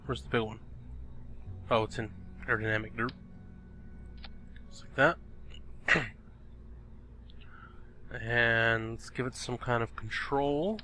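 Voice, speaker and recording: A man's voice: medium pitch, steady tone, slow pace, pauses often, bright, forceful tone, a little hiss or hum. A young man giving casual, chatty gameplay commentary, thinking aloud and exclaiming at the game, a bit sniffly from a cold. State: sniffly, recovering from illness. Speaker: gaming video host. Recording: faint background music. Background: background music.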